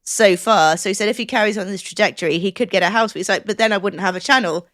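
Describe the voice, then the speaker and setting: A woman's voice: medium pitch, fast, fairly bright tone, no room sound, clean studio sound. A woman chatting casually as a podcast co-host, explaining things in an enthusiastic, rambling way.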